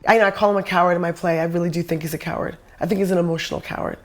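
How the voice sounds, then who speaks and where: A woman's voice: lowish voice, fairly even intonation, very fast. A middle-aged woman with an American accent, from a suburb of New York City, talking candidly in a personal interview. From suburb outside New York City, United States. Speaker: interviewee, playwright and performer.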